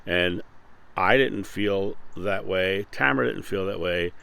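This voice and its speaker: A man's voice: sits low, measured pace, vocal fry, pushed and bright. A man hosting his own channel, talking casually and earnestly about a spiritual idea, often restarting and repeating words. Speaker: channel host. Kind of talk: casual spiritual talk to camera. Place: Akron, Ohio.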